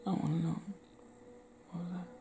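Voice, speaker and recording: A young man from Cleveland doing an intimate ASMR roleplay, talking softly and often whispering, recorded close in a small indoor room. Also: high voice, level tone, moderate pace, soft background music. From Cleveland, Ohio.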